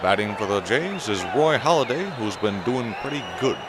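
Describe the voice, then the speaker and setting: Guy doing a mock-serious sports announcer, lively, really noisy parody broadcast. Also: mid-range voice, moderate pace, over a noisy crowd, natural voice. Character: male play-by-play sports anchor, unflappably earnest.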